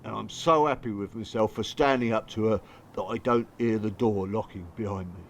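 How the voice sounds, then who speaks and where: A man's voice: on the low side, brisk, slight background noise, natural voice. An actor playing a bitter, working-class English father in a radio drama, confessing his hurt in blunt, colloquial, strained speech. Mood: anguished, agitated. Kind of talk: radio drama dialogue and monologue.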